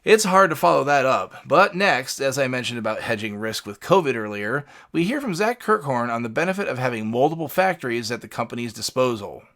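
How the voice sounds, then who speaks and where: Male podcast host, medium pitch, highly varied intonation, scripted commentary narration. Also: sing-song, brisk, few pauses, fairly bright tone.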